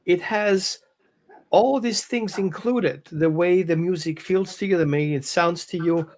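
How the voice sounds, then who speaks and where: A man's voice: high-pitched, a little slow, a little mellow. A man, a dog behavior consultant explaining on a live show with phrasing and quoted examples. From Greece and Austria.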